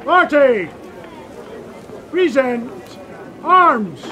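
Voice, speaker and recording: High, barking military commander. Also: male voice, big pitch swings, drawn-out words, a crowd in the background, full character voice. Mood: stern, commanding. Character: stern military commander barking funeral drill orders. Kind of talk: radio drama acting, shouted commands. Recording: loud outdoor background noise.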